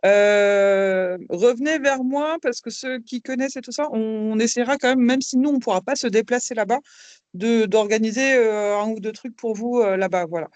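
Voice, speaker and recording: A woman's voice: a little high, normal speed, darkish tone, no room sound. A woman who is vice-president of an association, giving a casual, hesitant update to members on a video call, with frequent fillers.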